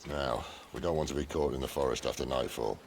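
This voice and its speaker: A man's voice: very deep voice, fairly even intonation, fast talker, background noise. An actor playing a roguish middle-aged male outlaw assassin with a dry, teasing manner. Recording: game cutscene audio with music.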